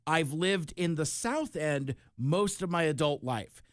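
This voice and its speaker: A man's voice: a little high, expressive, a little slow, no room sound, clean studio sound. A man from Seattle, a radio guest, chatting casually and reflectively, illustrating points with quoted examples. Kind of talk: casual conversation.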